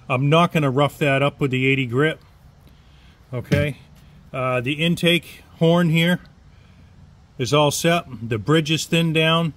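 A man's voice: fairly high pitch, fairly bright tone. A middle-aged man talking casually at his workbench, explaining each step in a plain-spoken way. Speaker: chainsaw modder. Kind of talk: casual workshop tutorial. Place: workshop bench indoors.

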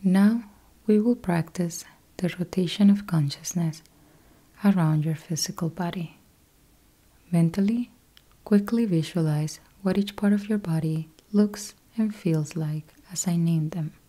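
A woman guiding a yoga nidra meditation in a soft, breathy, husky voice, calm and gentle, leaving long pauses between short instructions. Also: on the low side. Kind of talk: guided meditation, slow instructional.

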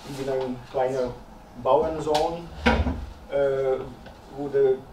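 A man's voice: medium pitch, level tone, very slow. A man in his fifties from Croatia, speaking German as a second language with a Croatian accent, giving a hesitant lecture full of "äh" fillers. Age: 50s. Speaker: guest speaker. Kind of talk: lecture talk. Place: dim lecture salon with projector, small audience. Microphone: far room camera. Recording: echoey room, distant mic, faint noise.

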